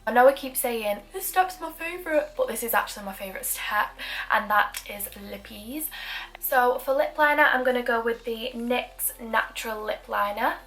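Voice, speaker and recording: A woman's voice: a little high, moderate pace, fairly bright tone, poor audio. A young woman with a Manchester accent, chatting through a makeup tutorial with self-deprecating asides. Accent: Mancunian. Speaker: beauty vlogger.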